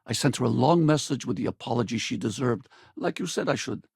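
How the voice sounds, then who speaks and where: An easygoing male space pilot companion for a video game. His voice is husky and heavily creaky, recorded dry and close. He talks casually, loyal and wry, like someone used to a fight. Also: mid-range voice, animated, fairly quick, darkish tone, no echo. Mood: candid, reflective. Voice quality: gravelly, husky. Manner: casual, colloquial, conversational.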